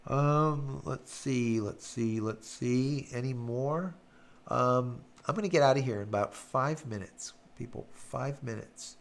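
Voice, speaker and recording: Raspy gravelly guy rambling through chat comments on his stream, lots of ums. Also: medium pitch, slow pace. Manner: rambling, filler-heavy, hums while scrolling. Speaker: live stream host. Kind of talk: casual live-stream chat reading viewer comments.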